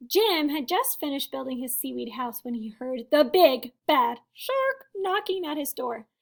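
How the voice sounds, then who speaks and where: A woman teacher doing an expressive storybook read-aloud for first graders, chatting to them and asking questions. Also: high-pitched, a little slow, gravelly. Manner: expressive, addressing young children with questions. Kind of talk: animated children's read-aloud.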